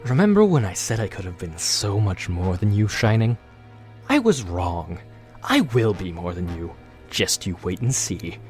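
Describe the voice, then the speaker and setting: A man's voice: lowish voice, big pitch swings, measured pace, a little mellow, soft background music. A man playing a bitter, power-hungry pony guard turned traitor, sneering theatrically in a cartoon audio drama. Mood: menacing. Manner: sneering, theatrical villain monologue. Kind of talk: scripted audio drama acting.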